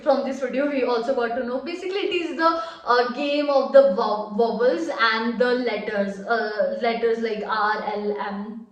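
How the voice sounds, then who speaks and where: A woman's voice: on the high side, normal speed. A young Indian woman with an Indian accent giving hesitant, casual reaction commentary full of fillers. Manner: hesitant, many fillers, laughs softly. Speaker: reaction video co-host. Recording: echoey room, distant mic.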